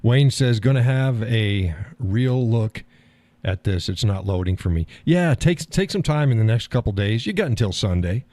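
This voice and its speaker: A man hosting a live call-in stream, talking in a calm, easygoing way and chuckling often. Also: medium pitch, moderate pace, dry recording. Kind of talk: casual chat.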